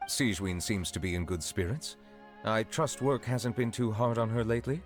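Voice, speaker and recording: A man playing an ageless, dignified chief judge in a video game, formal, reserved and measured. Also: fairly low pitch, faint music behind, lightly acted. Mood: awkward, polite. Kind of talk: video game dialogue acting.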